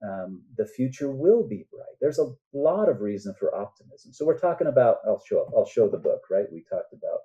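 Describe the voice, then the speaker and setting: An older man speaking earnestly and deliberately as a novelist guest in a reflective podcast interview. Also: on the low side, big pitch swings, mellow. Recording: dull, muffled lo-fi sound.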